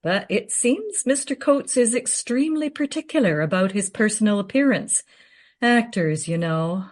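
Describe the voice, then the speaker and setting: An actress playing a wry, grumbling makeup artist on a film crew in a radio play. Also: female voice, medium pitch, lively intonation, normal speed, a little mellow, lightly acted. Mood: exasperated.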